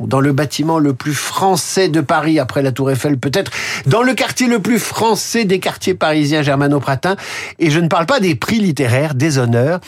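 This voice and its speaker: Male radio host, husky voice, dry studio sound, asks formal and elaborate questions. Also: mid-range voice, dry recording. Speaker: radio morning show host.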